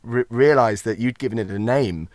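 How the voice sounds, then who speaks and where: A man's voice: mid-range voice, moderate pace, a little mellow, no echo, clean studio sound. A man chatting as a podcast guest, rambling and jokey, often interrupting himself as he gives anecdotes and advice. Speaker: guest, voice actor and voiceover tutor.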